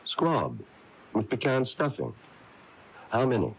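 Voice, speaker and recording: An actor playing a firm, knowing male confidant in a radio mystery drama, questioning deliberately and pressing for answers. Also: medium pitch, sing-song, vocal fry, husky, exaggerated voice. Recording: muffled 1980s AM radio tape, faint music.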